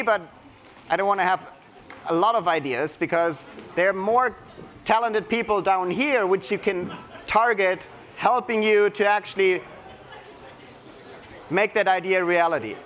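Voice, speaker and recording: A man's voice: sits high, normal speed, long pauses, a bit bright, a little hiss or hum. A man from Germany with a German accent, speaking to a live audience and coaching them through a creativity exercise. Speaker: workshop speaker. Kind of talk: interactive keynote talk.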